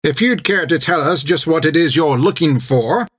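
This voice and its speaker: A man voicing an elderly, stern and venomous nun, speaking in a clipped, snappish way, raised in pitch. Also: normal speed, fairly bright tone, husky. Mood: indignant. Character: old sharp-tongued nun, stern and venomous.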